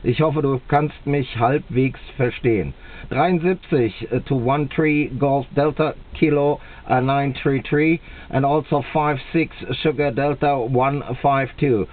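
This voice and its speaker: A man with a German accent making a two-way radio contact, speaking slowly and deliberately and repeating himself. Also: mid-range voice, steady tone. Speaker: radio operator. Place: indoors at a radio set. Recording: tinny, band-limited camera capture at the radio.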